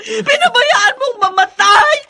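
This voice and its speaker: A woman's voice: extremely high pitch, lively intonation, slow pace, creaky, shouting, very noisy, exaggerated voice. A woman playing a worried, long-suffering young wife and mother in a melodramatic radio drama. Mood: wailing, accusing. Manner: earnest, melodramatic. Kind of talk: Tagalog radio drama acting.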